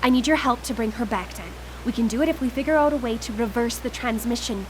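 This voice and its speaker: Woman acting high-voiced, desperate grieving widow. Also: fast talker, close to an everyday voice, poor audio. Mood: pleading. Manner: pleading, urgent. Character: grieving, obsessive widow desperate to reach her lost wife. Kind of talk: live radio drama acting. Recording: live broadcast with background noise.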